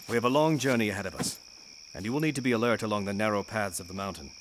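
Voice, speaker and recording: A man's voice: fairly low pitch, fast, fairly bright tone, slight background noise, lightly acted, rough recording. A man playing a stern knightly guardian in an audio drama, speaking in a terse, formal, commanding way. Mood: weary, firm.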